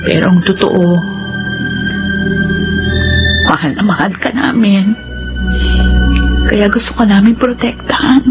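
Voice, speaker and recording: A woman's voice: mid-range voice, takes it slowly, pauses often, dull audio, over loud music. A woman playing an affectionate, protective young sister in a Filipino radio drama, with a Filipino accent. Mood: apologetic, loving.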